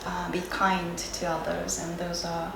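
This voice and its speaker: A woman from Japan with a Japanese accent, a native Japanese speaker giving reflective, unscripted interview answers, hesitant and searching for words with frequent pauses. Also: fairly low pitch, monotone. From Sendai area, Japan. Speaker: interviewee, yoga and Japanese teacher. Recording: roomy echo, clear background noise.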